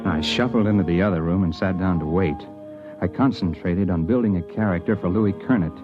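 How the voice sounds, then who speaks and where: A male actor playing a thoughtful investigator of about forty, with measured, conversational delivery in 1950s radio drama. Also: low voice, over music, natural voice. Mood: narrating, intent. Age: 40s. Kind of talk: 1950s radio drama, first-person noir narration. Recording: muffled vintage radio recording.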